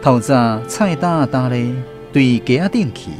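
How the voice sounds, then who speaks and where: A man's voice: mid-range voice, expressive, unhurried. A man with a Taiwanese accent narrating a literary radio drama from a script. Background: plucked guitar music.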